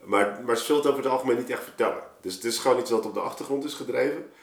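A man's voice: medium pitch, racing. A man from Suriname talking casually on a podcast as a guest, rambling and thinking aloud as he explains his ideas. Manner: rambling, thinking aloud, frequent 'zeg maar' asides. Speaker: podcast guest, artist and storyteller. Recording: roomy, echoing room sound.

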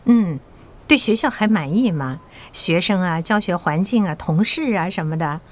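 An actress playing a mature, patriotic school principal in an audio drama, encouraging and persuasive with measured authority. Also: female voice, on the low side, lively intonation, natural voice. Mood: kindly, encouraging.

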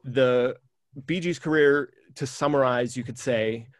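Guy hosting a music podcast, talks fast with lots of ums, dry mic. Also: medium pitch, no room sound. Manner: enthusiastic, rambling with many fillers. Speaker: podcast host. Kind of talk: casual music chat.

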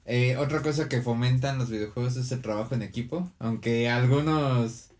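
A young man with a Mexican Spanish accent talks at a middle pitch. He is casual, but he sorts his points into an orderly list and takes them one at a time. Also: normal speed, a little mellow. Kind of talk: casual chat.

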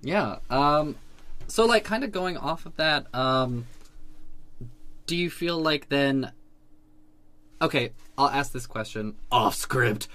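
A woman interviewer with a bright, forceful voice asks loose, casual questions. She hedges with fillers and laughs easily along with her guest. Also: extremely low pitch, animated, slow pace, long pauses, no echo. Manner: chatty, laughs often, hedges with "like".

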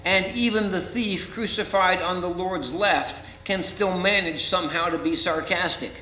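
An American man preaching a sermon as a pastor, speaking in rhetorical questions with deliberate dramatic emphasis. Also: high-pitched, sharp tone. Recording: roomy, muffled, with faint mains hum.

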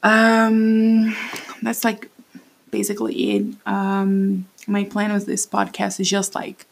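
Brazilian woman in her late 20s rambling into her podcast mic, husky and slow. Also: medium pitch, completely flat delivery. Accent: Brazilian Portuguese. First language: Portuguese. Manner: rambling, self-conscious, with many fillers. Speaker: podcast host. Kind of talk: casual solo podcast monologue. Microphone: standing at a home mic.

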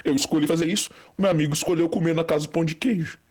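Husky-voiced young man telling a rambling story. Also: on the high side, fairly even intonation, rapid-fire, darkish tone. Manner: rambling, many fillers and restarts. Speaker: storyteller guest. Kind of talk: casual chat, telling an anecdote.

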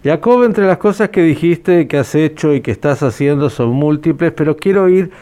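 A man hosting a podcast interview, phrasing his questions in a deliberate, formal way. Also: on the high side, expressive, mellow.

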